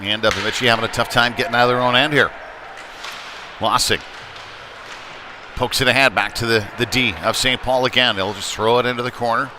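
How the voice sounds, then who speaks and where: A man giving live play-by-play commentary on ice hockey in a halting way, with frequent pauses. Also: medium pitch, fairly even intonation. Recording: noisy live rink broadcast.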